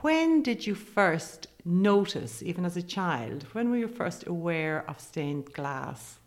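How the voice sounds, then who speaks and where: A woman hosting a podcast, interviewing a guest in a relaxed conversational style. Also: lowish voice, slow pace. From Ireland.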